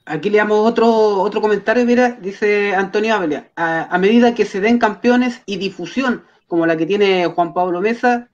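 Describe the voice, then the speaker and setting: A Chilean man hosting a boxing talk show, speaking in a measured way with many fillers and tag questions. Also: high voice, lo-fi sound. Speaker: host.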